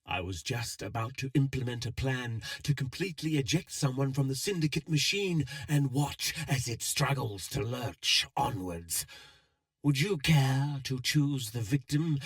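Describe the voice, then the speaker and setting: A cold, world-weary male schemer from a video game, cryptic and eloquent. He takes his time over each phrase, with theatrical swings in pitch. The recording is close and dry, with no room around the voice. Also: lively intonation, no echo. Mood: scheming, bargaining. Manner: measured, eloquent, deliberate phrasing. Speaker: video game character voice actor. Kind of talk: scripted game dialogue, theatrical.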